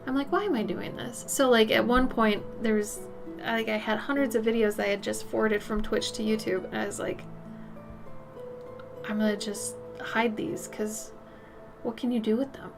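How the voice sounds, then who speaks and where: Chatty thirty-something woman streamer, bright pitch. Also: fairly even intonation, moderate pace, music in the background. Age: 30s. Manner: chatty, reads and answers chat, frank and loose. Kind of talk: casual livestream chat while painting.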